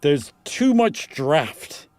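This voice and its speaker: A man voicing a pompous, grandly theatrical gentleman in an exaggerated, drawn-out reading of a comic ghost story. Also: a little high, very animated, no echo, exaggerated voice. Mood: dramatic.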